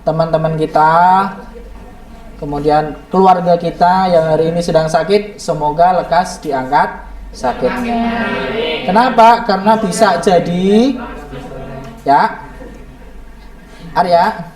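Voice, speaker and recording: A man teaching a class, hoarse and slightly raspy from a cold, quizzing his students in a lively way. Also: high voice, takes it slowly, long pauses. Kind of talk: classroom lesson. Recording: echoey classroom from far off, students behind.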